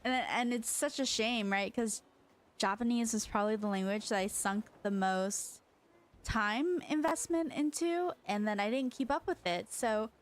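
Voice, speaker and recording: A woman's voice: fairly high pitch, a little slow. A young woman from China whose first language is Chinese, streaming in a rambling, casual chat full of fillers and restarts.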